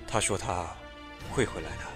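An actor playing a solemn, sorrowful man in a fantasy audio drama, speaking in a grave, measured way. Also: sits low, music in the background, lightly acted. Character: solemn, sorrowful man bearing tragic news.

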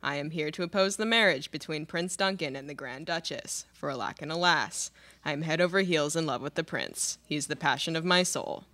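A woman's voice: low-pitched, fairly quick, a bit bright. A young woman playing a sardonic student actress as a fair princess in a comedic radio play, dry and mock-dramatic. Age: young adult. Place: small studio room, at a stage mic.

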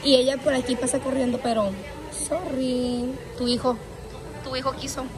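A woman's voice: high voice, little pitch movement, moderate pace. A young woman chatting casually to a phone at arm's length, playful and teasing, dropping English words into her talk. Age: young adult. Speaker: vlogger. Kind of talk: casual family vlog chat. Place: malls, restaurant and street. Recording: busy public spaces, music and crowd behind.

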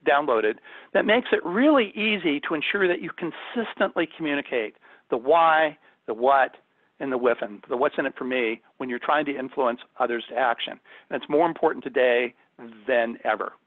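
A man's voice: mid-range voice, normal speed, dry recording. A man working as a corporate trainer, leading an online workshop in a conversational, emphatic, instructive way. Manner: emphatic, instructive, addresses listeners with questions. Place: indoors, on a video call. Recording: thin phone-like audio, dry.

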